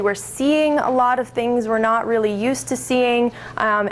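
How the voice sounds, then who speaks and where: A woman's voice: mid-range voice, slight background noise. Woman giving a public talk. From Minnesota. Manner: friendly, self-correcting, explanatory. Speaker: presenter.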